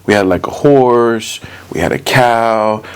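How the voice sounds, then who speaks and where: A man's voice: on the low side, slow pace, smooth. A man speaking as an interview guest, telling personal stories in informal, streetwise language full of "you know.". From born in the US, Puerto Rican, moved to Puerto Rico as a child. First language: English. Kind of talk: casual personal storytelling.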